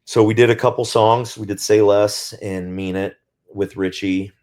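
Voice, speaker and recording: A male singer with a naturally very deep voice, talking casually and reflectively in an interview, often pausing to find his words. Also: fairly even intonation, darkish tone. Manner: reflective, searching for words with many pauses. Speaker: band singer as guest.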